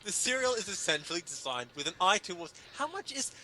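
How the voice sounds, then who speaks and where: A man's voice: sits high, very animated, fairly quick, bright, forceful tone, low-quality recording. A man giving a theatrical, pompous dramatic reading of comic prose in a mock-grave manner. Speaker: reader of a humorous article.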